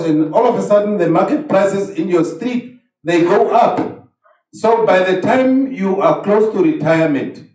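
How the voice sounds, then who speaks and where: A man's voice: sits high, darkish tone. Man presenting in an echoey hall. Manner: practical, advising. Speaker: workshop presenter. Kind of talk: explanatory talk to an audience. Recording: echoey hall, distant mic.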